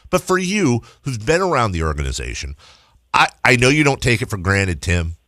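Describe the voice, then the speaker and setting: A man's voice: medium pitch, very animated, normal speed, bright, forceful tone, no echo, clean studio sound. An American man hosting a sports radio show, asking questions in a conversational interview style.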